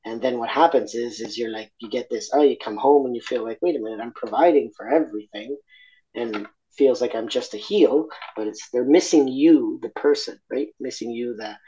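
A man from Argentina speaking candidly as an interview guest, reflective and halting, with many fillers and restarts. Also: mid-range voice, warm tone, highs cut off.

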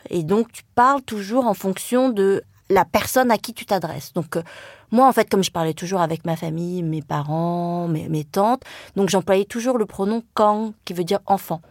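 A woman's voice: medium pitch, no echo, clean studio sound. A woman from France whose first language is Vietnamese, speaking as a journalist in a reflective interview, thoughtful and hesitant with frequent fillers and restarts. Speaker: journalist and writer.

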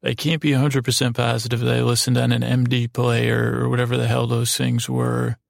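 A man hosting a bedtime podcast in a meandering, halting monologue, repeating himself and pausing as he goes. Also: medium pitch, monotone, gravelly, dry recording, clean studio sound. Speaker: podcast host. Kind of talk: rambling bedtime podcast monologue, meant to lull.

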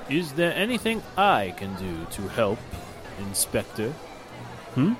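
An actor playing a solicitous, courteous businessman in a 1940s-style radio drama, speaking in a measured way. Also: mid-range voice, huge pitch swings, over music, crowd noise. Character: solicitous gentleman business partner, courteous and gentle.

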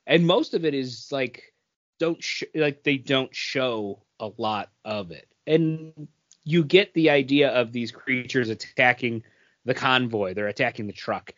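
Male podcast co-host, mid-pitched, casual and blunt, on a dry recording. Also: a little slow, fairly bright tone, dry recording, dull audio. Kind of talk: casual comics and film chat.

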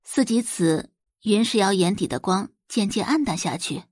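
A woman's voice: medium pitch, lively intonation, moderate pace, darkish tone, slightly rough, dry recording, studio quality. A woman reading a scripted story expressively as an audiobook narrator.

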